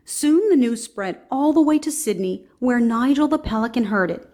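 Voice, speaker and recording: A woman's voice: on the high side, expressive, normal speed, mellow, a little hiss or hum. A woman reading a children's storybook aloud as scripted narration.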